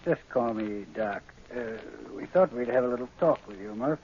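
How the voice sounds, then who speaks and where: An actor playing a coolly menacing gang doctor who speaks with deliberate, sinister politeness in a 1940s radio drama. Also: male voice, mid-range voice, lively intonation, darkish tone, natural voice. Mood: mock-friendly menace. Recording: muffled, noisy vintage radio recording.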